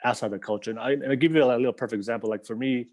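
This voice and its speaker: A young man from Tonga hosts a relaxed podcast conversation in a middle-pitched voice. He meanders through his questions with plenty of fillers and breaks into laughter often. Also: rapid-fire. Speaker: podcast host. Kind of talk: casual interview chat.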